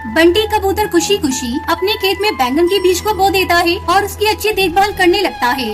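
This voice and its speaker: A woman's voice: very high voice, lively intonation, normal speed, over music. A woman narrating a scripted children's moral story as a storyteller.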